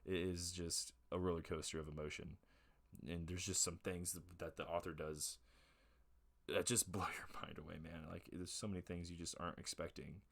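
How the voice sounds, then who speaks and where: A man in his twenties hosting a relaxed show, rambling casually through book reviews with lots of fillers. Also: low-pitched, normal speed, creaky. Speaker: host of a music and talk show.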